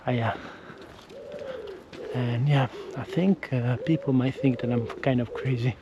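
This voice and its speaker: A man's voice: medium pitch, unhurried, highs cut off, background noise. A man with an Italian accent, a non-native English speaker, vlogging casually while walking outdoors, often restarting and correcting himself. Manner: hesitant, frequent restarts and self-corrections. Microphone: action camera with windscreen.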